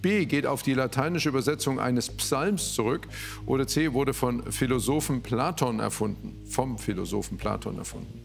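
A man's voice: mid-range voice, creaky, soft background music. Male quiz host reading questions. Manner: measured, reads answer options aloud.

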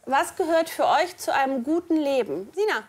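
A woman teacher quizzes her class in a classroom, her voice high and swinging widely in pitch as she calls on students. Faint room ambience sits behind her. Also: normal speed, slight background noise. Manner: lively, questioning. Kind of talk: leading a class discussion.

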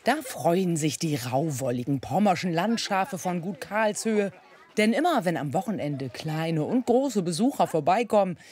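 A woman narrates a light scripted feature and weather forecast. Her voice is very low for a woman, with animated, swooping intonation. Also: husky.

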